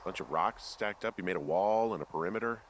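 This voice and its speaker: An actor playing a wry, easygoing fire lookout in a video game, talking in a dry, self-deprecating, casual way. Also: male voice, low voice, fast talker, slight background noise, lightly acted. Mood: reflective, wry. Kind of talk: naturalistic video game dialogue.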